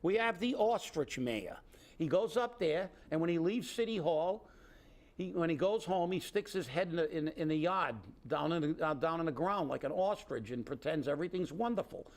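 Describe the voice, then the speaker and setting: A man's voice: a little high, lively intonation, normal speed. A man co-hosting a local political talk show, ranting in an indignant, sarcastic way and rambling with restarts. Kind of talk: opinionated casual political chat.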